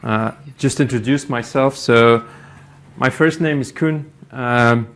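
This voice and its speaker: Halting Belgian man presenting in English. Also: mid-range voice, a bit bright. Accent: Belgian Dutch. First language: Dutch. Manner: halting, many fillers and restarts. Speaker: conference speaker, company IT executive. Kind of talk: conference presentation. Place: conference room.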